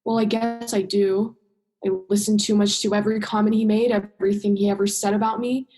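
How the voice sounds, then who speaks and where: A woman's voice: medium pitch, flat delivery, brisk, warm tone, close to an everyday voice, rough recording. A woman drama student acting a bitter, sarcastic young female scientist in a radio play, sardonic and emphatic, stretching stressed words. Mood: wistful bitterness. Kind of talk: radio play monologue and dialogue.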